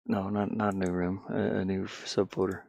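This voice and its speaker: A man casually thinking out loud in an offhand, muttering way as he works through game development on screen. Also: sits low, flat delivery, fairly quick, vocal fry. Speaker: indie game developer, streamer. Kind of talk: casual think-aloud screen-share commentary while working.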